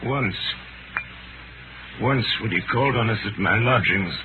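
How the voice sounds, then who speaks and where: A man's voice: fairly low pitch, lightly acted. Frail elderly former prisoner played by a male actor, weary and dazed, vintage radio play, tinny, noisy recording. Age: older. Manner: halting, faint, dazed, weary delivery. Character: frail, broken elderly doctor, long-imprisoned father. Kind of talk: 1930s radio drama acting. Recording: scratchy, tinny 1930s radio broadcast.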